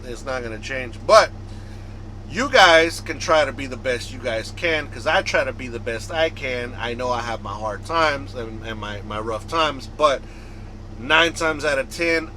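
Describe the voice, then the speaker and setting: A man's voice: mid-range voice, animated, a little slow, sharp tone, slight background noise, poor audio. A man from the United States, a trucker vlogger, talking casually to his viewers in a rambling, conversational way that circles back and repeats. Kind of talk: casual vlog talk to viewers.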